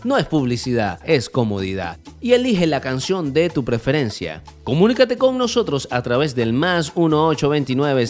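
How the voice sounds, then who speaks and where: A man with a Latin American Spanish accent, hosting a music radio podcast segment in a scripted, emphatic announcer style. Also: fairly high pitch. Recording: music playing behind the voice.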